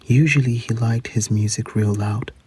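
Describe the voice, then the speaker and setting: A man's voice: medium pitch, steady tone, normal speed, a little mellow, slightly rough, no echo. An actor playing a man looking back on a secret teenage adventure in a reflective audio drama monologue. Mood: reflective, hushed.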